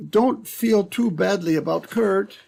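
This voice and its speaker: A man's voice: sits high, slow pace, vocal fry, a little mellow, pitched above the performer's own voice, full character voice. A man playing a devoted young sweetheart, tender and relieved, in an old-time radio melodrama.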